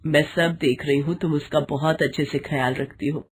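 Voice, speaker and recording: A woman voicing a caring, protective older mother-in-law, soothing and gently commanding, in a moral story. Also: deep voice, steady tone, normal speed, close to an everyday voice. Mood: reassuring.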